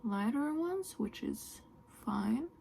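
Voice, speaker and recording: Slow, gravelly-voiced woman. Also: high-pitched, expressive, slight background noise. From Norway. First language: Norwegian. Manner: soft, often trailing into a whisper. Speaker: beauty vlogger. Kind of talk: makeup tutorial chat.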